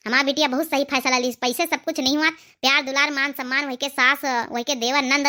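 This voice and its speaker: A woman's voice: high voice, bright, forceful tone. A woman voicing a proud, approving village mother in a cartoon drama, moralizing and repetitive, with an Awadhi accent. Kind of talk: cartoon family drama dialogue.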